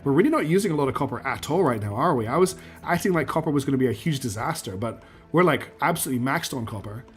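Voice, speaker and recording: A man streaming a factory-building game, losing his voice and clearing his throat, talking himself through each step and answering chat. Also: medium pitch, lively intonation, fast, few pauses, soft background music. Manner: talks himself through building steps, counts out loud, asks himself questions; answers chat messages as he plays. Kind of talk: live gameplay commentary, thinking aloud.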